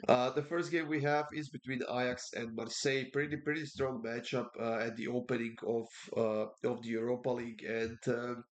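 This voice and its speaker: A man's voice: medium pitch, fairly even intonation. A man with a congested voice from a cold, giving casual soccer betting picks, with lots of fillers and repeats. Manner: halting, many uh fillers and repeats. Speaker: sports betting tipster. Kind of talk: casual betting preview talk.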